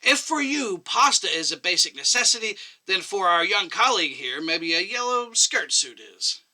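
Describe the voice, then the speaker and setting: A man performs a needling old male doctor from a short story, pitched high and very bright. He argues with mocking emphasis and swooping intonation. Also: very animated, exaggerated voice. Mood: insistent. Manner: mocking, emphatic, drawn-out argument. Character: sarcastic elderly male doctor, needling and argumentative.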